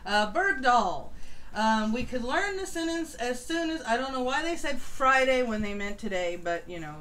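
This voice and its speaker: A woman's voice: a little high, animated, moderate pace, a crowd in the background, poor audio. A woman hosting a live stream, chatting casually and bluntly about the news and talking to her viewers. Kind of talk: casual news commentary and chat. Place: home live stream over voice chat.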